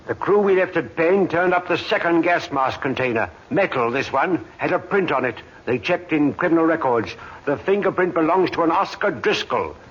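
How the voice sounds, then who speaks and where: An actor playing a brisk, authoritative British police inspector in an old radio drama, clipped and formal. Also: male voice, fairly high pitch, animated, a bit bright, husky. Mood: brisk, official. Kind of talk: 1950s radio drama acting. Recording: muffled old radio recording, faint hiss.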